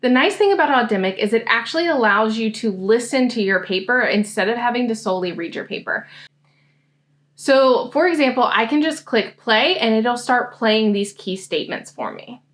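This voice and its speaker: Woman, slightly high voice, explains software step by step for a tutorial. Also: animated, normal speed. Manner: friendly, explanatory screen-demo talk. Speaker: science grad school coach.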